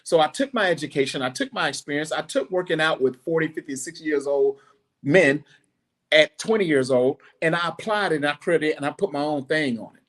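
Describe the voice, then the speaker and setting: A middle-aged man hosting a podcast, giving a blunt, preachy monologue with animated asides. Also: fairly high pitch. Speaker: podcast host. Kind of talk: casual monologue.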